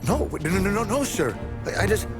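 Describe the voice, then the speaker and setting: An actor playing a panicked young mob driver who stammers and pleads in a game cutscene. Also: male voice, high voice, very animated, fairly quick. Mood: pleading. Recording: game cutscene with loud music.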